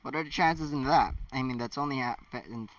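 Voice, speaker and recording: A man's voice: mid-range voice, brisk, no room sound. A man from the Philippines chatting casually between story readings, rambling and correcting himself as he goes. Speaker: fan fiction reader and host. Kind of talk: casual chatty asides while reading stories. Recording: slightly muffled, distant mic.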